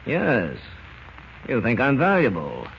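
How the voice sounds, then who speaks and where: A wary hired crook from a vintage radio serial. He talks in a blunt, clipped, sceptical way at an ordinary male pitch. The sound is muffled old radio with a layer of noise. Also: wildly swooping pitch, slow pace, heavily acted. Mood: wary, curt. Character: hard, shrewd American criminal, wary hired schemer. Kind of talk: 1940s radio acting. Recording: scratchy, muffled old-time radio recording.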